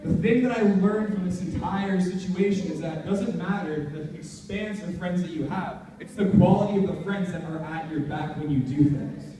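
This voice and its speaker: A man's voice: sits high, normal speed, few pauses, crowd noise. A young man gives an earnest, emphatic motivational talk to a live audience in informal language. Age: young adult. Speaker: motivational speaker at a student leadership conference. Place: large indoor conference hall. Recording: echoey noisy hall, far from mic.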